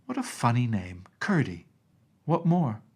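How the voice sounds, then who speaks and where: A man's voice: mid-range voice, sing-song, measured pace, breathy edge, full character voice. A man voicing a young princess girl who is innocent, affectionate and stubborn, with a pleading, childlike directness, in a storybook reading. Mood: curious, insistent.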